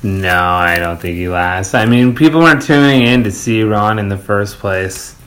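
A man co-hosting a podcast, discussing opinions casually and pausing to search for his words. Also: lowish voice, fairly bright tone. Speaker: podcast co-host.

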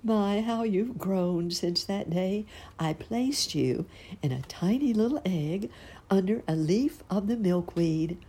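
A woman voicing a gentle, motherly monarch butterfly in a storybook reading. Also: medium pitch, lively intonation, slow pace, slightly rough, voice pushed up. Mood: tender.

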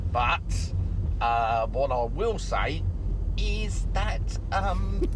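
A man's voice: very high-pitched, noisy background, full character voice. A man putting on a camp, dotty old celebrity with a wandering Birmingham accent, rambling theatrically through a comic voicemail. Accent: Brummy (Birmingham), wandering. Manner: theatrical, rambling, mock-scandalised.

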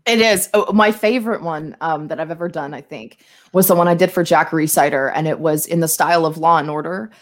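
A woman's voice: low voice, fairly quick. A woman chatting casually as a podcast guest, with a playful, theatrical manner.

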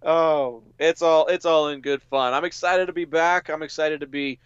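A man's voice: a little high, steady tone, moderate pace, clear, resonant tone, dry recording. A man co-hosting a podcast in casual, conversational chat on a slightly lo-fi voice track. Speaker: podcast co-host.

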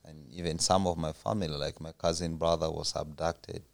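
A man from Uganda, a podcast guest, answering questions in a soft, plain and hesitant way. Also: rumbling low, level tone, moderate pace, creaky. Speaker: interview guest. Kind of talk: casual interview answers.

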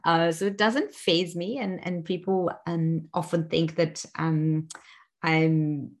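Low-voiced South African woman reflecting. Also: steady tone, takes it slowly. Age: 40s. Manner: thoughtful, many fillers and restarts. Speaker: interview guest. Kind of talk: reflective personal interview.

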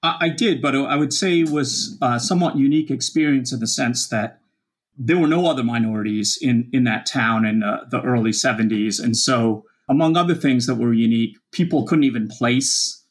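A man in his fifties, originally from Kenya, telling his life story as an interview guest in a measured, reflective way. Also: mid-range voice, slightly rough.

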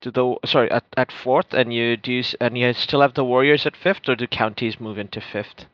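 Podcast co-host, man in his forties, from Bangladesh; relaxed, chatty delivery, dry and slightly muffled audio. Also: medium pitch, a little fast, dry recording. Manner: relaxed, chatty, with frequent fillers. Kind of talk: casual chat.

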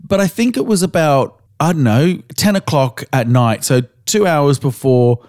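An Australian man co-hosting a podcast, slightly hoarse as he recovers his voice, telling stories in a frank, casual way with the odd swear word. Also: fairly high pitch, animated, normal speed, a little mellow, no echo, studio quality. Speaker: podcast co-host.

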